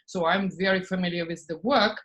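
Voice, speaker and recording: A woman from Estonia, a podcast guest talking casually and acting out her inner thoughts in lively asides. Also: fairly low pitch, a little fast. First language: Russian. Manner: animated, acts out her own inner voice in quoted asides, frequent "like" and soft laughs. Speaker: podcast guest, spiritual business coach. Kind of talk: casual interview talk.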